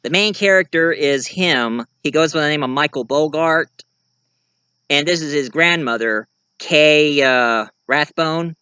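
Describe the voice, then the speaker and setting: A man giving a casual show-and-tell of his own fiction, rambling and halting mid-sentence to correct himself. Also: on the high side, sharp tone, clean tone, no echo. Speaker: amateur writer and online video creator.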